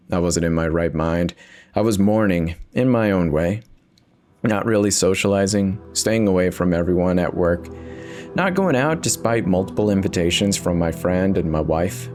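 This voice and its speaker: A man giving a dramatic reading of a first-person horror story, somber and confessional, with dramatic pauses. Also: sits low.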